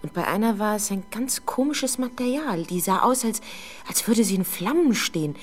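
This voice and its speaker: A woman's voice: mid-range voice, lively intonation, natural voice. Woman acting a frightened, defensive teenage girl. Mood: haunted, agitated. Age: young adult. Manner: hesitant, halting, exasperated when doubted. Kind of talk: 1970s German radio drama acting.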